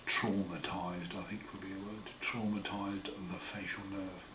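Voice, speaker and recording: A man with a croaky, hoarse voice after throat surgery, talking slowly and wryly in a casual home video diary. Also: low voice, little pitch movement. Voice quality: hoarse, partly breathy. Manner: slow, wry, plain-spoken, often pausing. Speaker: patient sharing his recovery. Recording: roomy echo, far mic, noisy.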